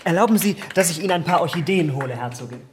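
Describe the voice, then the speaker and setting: An actor in a German radio drama playing an elegant, pleasure-seeking young gentleman with a breathy voice. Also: on the high side, animated, rapid-fire, lightly acted. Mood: languid charm.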